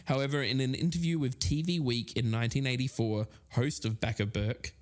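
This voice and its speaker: A man's voice: mid-range voice, fairly even intonation, fairly quick. A man co-hosting a podcast, narrating accounts and reading out quotes. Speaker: podcast co-host.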